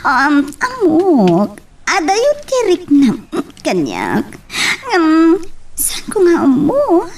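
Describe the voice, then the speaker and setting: Woman playing a high-pitched, tipsy, coaxing young Ilocano woman. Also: huge pitch swings, extremely slow, creaky, far from the mic, exaggerated voice. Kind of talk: amateur audio drama acting.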